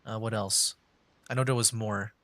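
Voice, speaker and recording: A man's voice: fairly low pitch, fairly even intonation, moderate pace, bright, forceful tone. A man giving casual live commentary as he plays a video game, rambling and thinking aloud. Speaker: livestreamer playing a video game. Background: game music.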